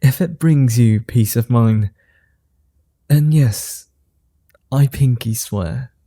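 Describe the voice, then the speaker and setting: Male vampire, gentlemanly and amused, man voicing: extremely slow delivery, very dark and mellow tone, formal old-world phrasing, ASMR roleplay. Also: medium pitch, no echo, clean studio sound. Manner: formal, old-fashioned phrasing with soft chuckles.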